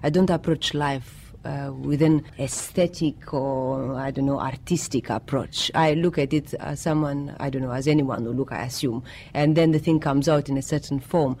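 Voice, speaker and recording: A mellow, dark-toned man in middle age speaks, Romanian being his tongue. He talks reflectively as an interviewee, pausing to search for the right word. His pitch is medium, and a light layer of noise sits behind the voice. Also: steady tone, creaky. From Romania, of Greek parents. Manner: hesitant, searching for words. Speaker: poet and writer interviewee.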